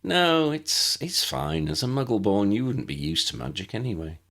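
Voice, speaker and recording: A man's voice: mid-range voice, big pitch swings, moderate pace, creaky, fairly bright tone, voice pushed up. A man voicing a friendly, helpful young schoolgirl witch in an audiobook, chatty and reassuring as she explains things. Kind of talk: audiobook character voice.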